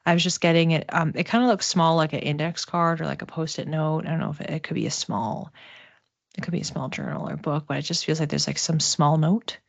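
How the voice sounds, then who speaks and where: Casting: woman, low register, psychic medium doing an off-the-cuff reading, hesitant delivery, slightly dull roomy recording. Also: vocal fry. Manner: tentative, halting, searching for words. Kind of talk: intuitive reading, spoken off the cuff to a live chat.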